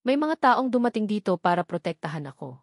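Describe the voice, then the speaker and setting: A loving older father reassures his daughter in a letter in an audio love story, as a woman narrator reads it. The delivery is even and measured, at a middling pitch, and the sound is dry. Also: dry recording. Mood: reassuring.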